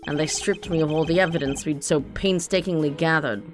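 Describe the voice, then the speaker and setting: A woman reading the lines of a composed male prosecutor in a game, in her own slightly raspy, lowish voice. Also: fairly even intonation, fairly quick, soft background music, close to an everyday voice. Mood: reflective. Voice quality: lightly raspy.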